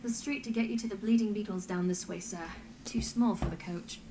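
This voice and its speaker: An actress playing a courteous, earnest young artisan in a fantasy video game, speaking carefully and deferentially. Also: female voice, mid-range voice, a little fast, background noise, close to an everyday voice. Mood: polite, curious. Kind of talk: dramatic video game dialogue.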